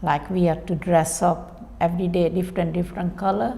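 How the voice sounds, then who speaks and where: A woman born in India and raised in Kenya, calmly recounting her childhood in an interview. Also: on the low side, brisk, vocal fry. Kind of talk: personal storytelling. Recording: reverberant room with faint noise.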